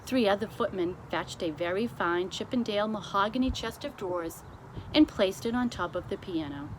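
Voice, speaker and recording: Woman reading a storybook over clear background noise. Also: mid-range voice, normal speed. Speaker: storybook reader. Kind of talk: scripted narration, children's story read aloud. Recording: clearly noisy amateur recording.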